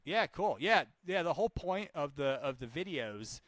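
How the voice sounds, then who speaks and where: A man's voice: on the high side, sing-song, normal speed, fairly bright tone, dry recording. A man working as a sales caller and trainer, talking informally and chattily and repeating his points for emphasis during live calls. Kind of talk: live cold-call demonstration with coaching talk.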